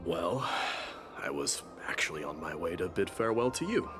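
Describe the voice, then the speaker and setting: Deep male voice, stoic godlike king, grave, formal, measured, fantasy game dialogue, music underneath. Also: very animated, a bit bright. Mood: calm, reflective. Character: solemn, stoic warrior king turned god, male. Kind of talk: dramatic video game voice acting.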